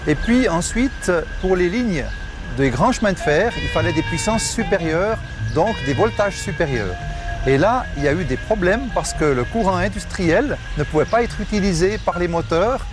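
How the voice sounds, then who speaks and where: A man narrating in a measured, factual way, explaining the history of something. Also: sits high, animated. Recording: voice over loud music.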